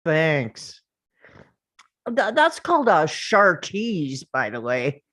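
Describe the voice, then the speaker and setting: A woman's voice: low-pitched, big pitch swings, very slow, a bit bright, heavily acted. A woman reading an awkward, earnest young teenage boy in a screenplay table read, with a hesitant manner.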